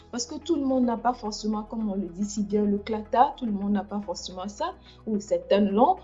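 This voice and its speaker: A woman's voice: medium pitch, dark tone, lo-fi sound. A woman hosting a casual livestream chat, speaking hesitantly with many fillers and restarts. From France. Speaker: livestream host.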